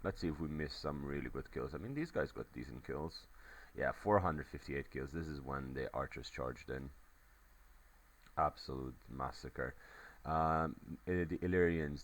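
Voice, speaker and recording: A man giving casual play-by-play commentary on a strategy game replay, his voice a little crackly, rambling with many fillers. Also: rumbling low.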